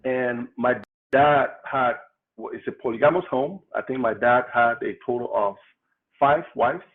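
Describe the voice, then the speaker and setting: A man from Cameroon, a political candidate, telling his life story in an interview with relaxed, explanatory humor. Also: mid-range voice, a little slow. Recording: tinny phone-like line.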